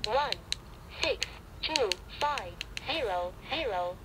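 Very slow synthetic woman, noisy radio prompt. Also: fairly high pitch, lively intonation. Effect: small radio speaker. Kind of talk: automated digit readout. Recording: noisy handheld radio speaker. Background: noise and clicks.

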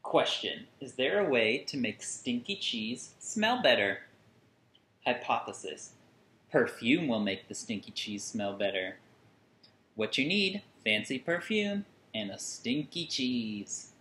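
A man in his thirties reading a picture book aloud to children with deliberate, singsong phrasing and dramatic emphasis. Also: medium pitch, expressive, fairly bright tone, a little hiss or hum. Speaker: children's story reader. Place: small furnished room at home, indoors. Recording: roomy indoor echo.

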